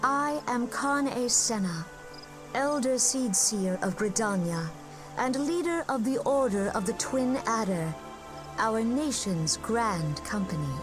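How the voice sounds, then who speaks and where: A woman's voice: a little high, lively intonation, over music. An actress playing a serene, gracious female seer and leader in a fantasy game, speaking with formal, courtly diction. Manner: formal, measured, courtly archaic speech. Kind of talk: fantasy video game voice acting.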